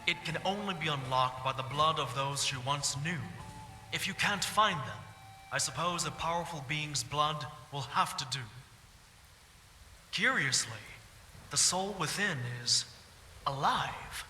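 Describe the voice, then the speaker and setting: A man acts out a brooding bard who once served a king as mage, in a fantasy game. His voice sits slightly high and his delivery is solemn and poetic. It rings in an echoey hall with clear music underneath. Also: measured pace, sharp tone. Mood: grave, cautious. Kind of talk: fantasy game roleplay dialogue.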